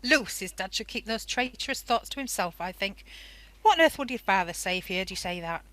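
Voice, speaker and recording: An actress playing a worried, sharp-tongued wartime wife and mother in an amateur radio drama, anxious and guarded. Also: mid-range voice, expressive, a little fast, no room sound, close to an everyday voice, low-quality recording. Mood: scornful.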